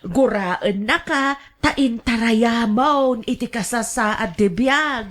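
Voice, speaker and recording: Woman acting querulous older mother, high-voiced. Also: slow, a bit bright. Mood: resentful grumbling. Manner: querulous, defensive. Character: aggrieved, quarrelsome gambling mother who blames her relatives. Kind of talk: radio drama acting.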